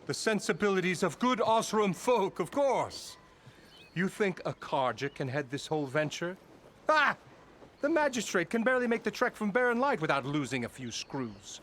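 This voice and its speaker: Pompous, self-important boss; slick and flattering, pitch rides high and all over. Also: male voice, normal speed, a little hiss or hum. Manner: grandiose, flattering, slyly evasive. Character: pompous blustering middle-aged male labor boss. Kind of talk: video game dialogue.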